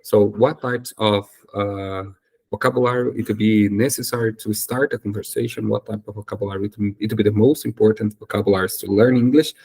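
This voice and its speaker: Gravelly low-voiced Brazilian man. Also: steady tone, a little fast, a little mellow, rough recording. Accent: Brazilian Portuguese. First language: Portuguese. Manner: hesitant, many fillers and self-corrections. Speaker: YouTuber and English learner. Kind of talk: casual solo talk to camera.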